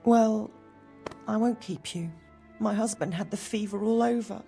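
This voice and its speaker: An actress playing a weary, grieving medieval village widow in a video game, sorrowful and wary. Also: female voice, mid-range voice, unhurried, gravelly, warm tone, faint music behind. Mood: weary grief.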